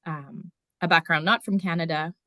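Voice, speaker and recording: German-born teacher explaining, dry sound. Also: female voice, low voice, fairly even intonation, moderate pace, sharp tone, dry recording. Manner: casual and explanatory, with frequent ums. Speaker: district numeracy teacher. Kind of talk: conference presentation.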